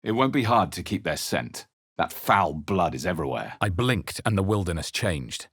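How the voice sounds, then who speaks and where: A man voicing a wary, brooding adventurer hero in a fantasy video game, narrating in the first person with dramatic, measured intensity. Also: low voice, very animated, fairly bright tone, slightly rough, no room sound, clean studio sound, exaggerated voice. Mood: grave, brooding. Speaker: video game player character voice.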